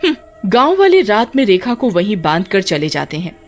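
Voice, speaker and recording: A woman storyteller reading scripted narration for an animated tale. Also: medium pitch, normal speed, faint music behind.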